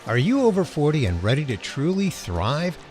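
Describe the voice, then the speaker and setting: A man's voice: fairly high pitch, wildly swooping pitch, normal speed, a little mellow, faint music behind. A middle-aged man from the USA hosting an interview podcast, sounding nasal from a cold and introducing his guest with enthusiasm. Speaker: podcast host.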